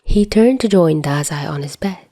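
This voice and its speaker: A woman reading a scripted story aloud as a podfic narrator, in an expressive manner. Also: sits low, normal speed, no echo, clean studio sound. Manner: expressive reading with acted dialogue.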